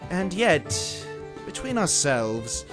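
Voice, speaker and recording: A genteel storybook dragon played by a man, high-pitched for a male and very animated. He rambles along in eager, old-fashioned politeness, a lazy and bashful fellow who loves company. Music plays behind him. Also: takes it slowly, exaggerated voice. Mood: chatty, confiding. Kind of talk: dramatized storybook character acting.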